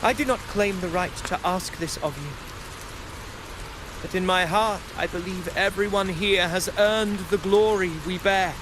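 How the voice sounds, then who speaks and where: A man's voice: sits high, a little slow, noisy background. A man voicing a noble, earnest young gladiator in a video game, formal and declamatory. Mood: rousing, earnest.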